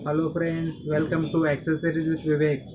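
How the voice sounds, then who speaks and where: A man's voice: on the high side, monotone, unhurried, lo-fi sound. A man with a North Karnataka accent giving a casual hands-on gadget review as a shop owner. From Karnataka, India. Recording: loud background noise.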